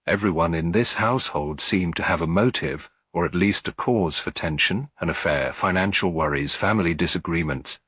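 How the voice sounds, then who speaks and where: A man's voice: low voice, normal speed, hardly any pauses, a bit bright, slightly rough, no room sound, studio quality. A man reading a classic detective story as a scripted audiobook narration.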